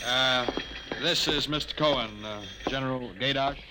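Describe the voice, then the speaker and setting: A man's voice: mid-range voice, moderate pace, natural voice. Male actor as a hesitant, polite army aide; hissy old radio. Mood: deferential. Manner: deferential, hesitant. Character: dutiful, cautious young army aide. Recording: noisy old radio broadcast.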